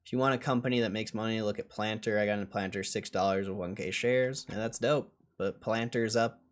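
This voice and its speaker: A man's voice: on the low side, steady tone, a little fast. A man hosting a livestream in a casual, blunt and dismissive way, swearing now and then as he answers chat. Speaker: livestream host.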